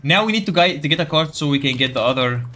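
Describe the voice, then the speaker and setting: Bright-voiced man guiding through a game. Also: on the high side, animated, quick pace. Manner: explanatory, addressing viewers directly. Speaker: gaming video creator giving a quest guide. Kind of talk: casual gameplay tutorial commentary.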